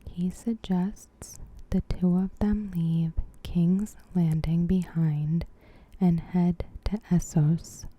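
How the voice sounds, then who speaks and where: A woman narrating a scripted recap in a gentle, hushed, measured ASMR whisper with a breathy sound. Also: lowish voice, dull audio. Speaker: ASMR host.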